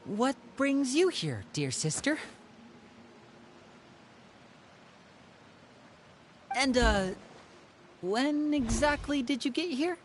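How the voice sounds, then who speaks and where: Theatrical, animated young ringleader. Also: male voice, very high-pitched, slow, a little hiss or hum, full character voice. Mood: flustered. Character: theatrical, smooth-talking young brother, ringleader, composed schemer. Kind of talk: video game voice acting.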